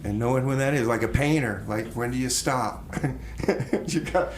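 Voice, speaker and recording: A man hosting a casual live radio interview, speaking haltingly and repeating words as he goes. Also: mid-range voice, a little hiss or hum. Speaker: radio show host. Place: radio studio.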